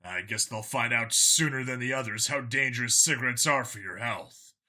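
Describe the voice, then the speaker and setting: Audiobook role: weary human lawman, voiced by a man, skeptical, plain-spoken, dry wit, lower register. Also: normal speed, sharp tone. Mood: wary. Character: weary, blunt human male cop, skeptical and questioning. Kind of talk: audiobook character dialogue.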